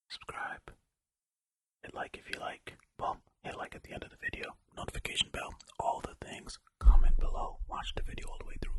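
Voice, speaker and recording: This is a whispered ASMR narration from a channel host. The voice is unvoiced and very bright, with crisp, hissing edges. The host reads out facts, then drifts into rambling, self-deprecating asides, all kept in the same whisper. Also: extremely high pitch. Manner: whispering throughout.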